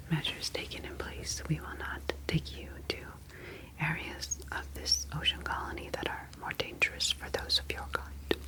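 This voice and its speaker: Gentle alien caretaker from an undersea world, played by a woman, whispered ASMR roleplay, formal and soothing, very even delivery. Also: sits low, exaggerated voice, poor audio. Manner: soothing, slow, deliberate, formal phrasing. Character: gentle reassuring humanoid ocean alien caretaker-scientist.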